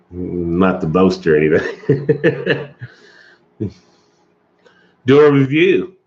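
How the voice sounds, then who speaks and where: A man's voice: low-pitched, a little mellow, lo-fi sound. A man hosting a live stream, chatting loosely with viewers, chuckling often and coughing from allergies. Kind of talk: casual chat with live viewers.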